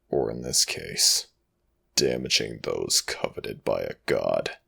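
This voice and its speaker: A man voicing an ancient Egyptian death god in an ASMR roleplay, tender and protective but quietly vengeful, murmuring slowly and formally with a gravelly, breathy rasp. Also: extremely low pitch, exaggerated voice. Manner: slow, hushed, formal archaic phrasing. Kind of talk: ASMR audio roleplay, intimate murmured acting.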